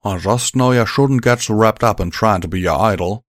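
A man voicing a rough-spoken young male pony with a drawl, strained and emotional. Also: fairly low pitch, normal speed, a little mellow, no echo, clean studio sound, close to an everyday voice.

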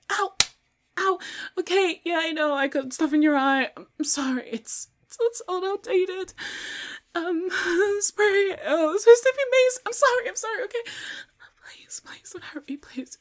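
A woman acting a foul-mouthed young woman in an audio drama, speaking in a halting, informal way with breathy murmurs. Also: high voice, no room sound, lightly acted. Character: foul-mouthed young woman, casual with friends, pleading when threatened. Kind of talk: solo audio roleplay drama, one side of dialogue.

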